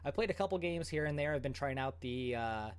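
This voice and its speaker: A man's voice: medium pitch, animated, brisk, smooth. A man in his thirties hosting a casual livestream from home, chatting with many fillers and an upbeat tone. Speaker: goalie channel host.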